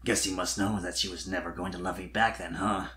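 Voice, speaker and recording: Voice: low voice, fairly even intonation, a little fast, pushed and bright, voice pushed down. A performer voicing a shy, awkward young male dragon with a sheepish, hesitant manner in a cartoon fan story. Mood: dejected.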